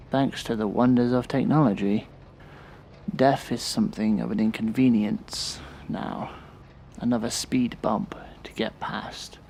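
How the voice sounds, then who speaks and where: An actor playing a weary, resentful man of about thirty in an audio drama, speaking in a bitter, sardonic and deliberate way. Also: medium pitch, gravelly, darkish tone, a little hiss or hum. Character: weary, resentful thirty-year-old man confiding in a therapist. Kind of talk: audio drama acting, monologue.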